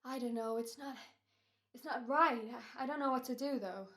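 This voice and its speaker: Woman playing an eager, higher-pitched male radio actor. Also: moderate pace, fairly bright tone. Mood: unsure, fretful. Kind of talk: old-time radio drama revival acting.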